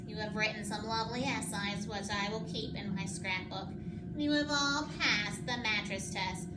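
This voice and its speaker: A woman voicing a cold, bossy storybook queen with a haughty, drawn-out, commanding delivery. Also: mid-range voice, lightly acted. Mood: haughty, formal. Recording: echoey room with loud background noise.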